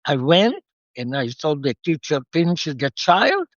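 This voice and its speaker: Older woman guest, unhurried reflective storyteller, slow delivery, slightly dull dry sound. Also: low-pitched, very animated, dry recording. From Israel. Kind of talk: interview storytelling.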